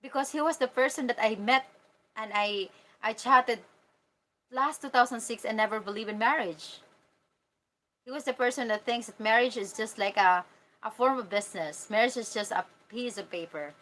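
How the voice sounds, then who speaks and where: A woman's voice: on the high side, moderate pace, a bit bright, poor audio. Casual Filipino-accented woman chatting candidly. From Philippines. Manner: candid, informal, talking directly to viewers. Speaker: vlogger answering live chat comments. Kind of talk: casual livestream chat.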